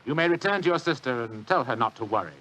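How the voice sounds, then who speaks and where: An actor playing a lazy, pompous, shrewd British government official with a grumbling, dryly superior manner, in 1940s radio drama style. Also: male voice, medium pitch, expressive, fast, a bit bright, natural voice, poor audio. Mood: shrewd, intent.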